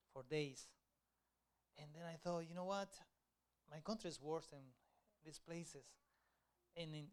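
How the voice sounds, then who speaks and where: A man from Honduras, a missionary telling his story at church, halting with frequent fillers. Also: fairly high pitch, smooth.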